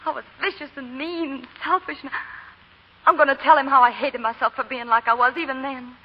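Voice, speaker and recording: High-voiced, willful belle. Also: female voice, steady tone, fairly quick. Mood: defiant, fervent. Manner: intense, theatrical. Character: willful, impassioned young Southern belle. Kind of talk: 1940s radio drama acting. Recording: dull, noisy 1940 radio recording.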